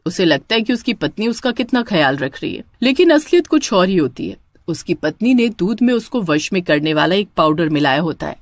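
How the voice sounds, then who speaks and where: A woman's voice: lowish voice, very animated, a little fast, hardly any pauses, no room sound. A woman narrating a scripted story as storyteller.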